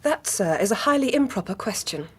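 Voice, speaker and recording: Husky, prim Victorian gentlewoman. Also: medium pitch, lively intonation, a little fast. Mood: indignant. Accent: English. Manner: clipped, haughty, very formal. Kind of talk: British radio drama acting.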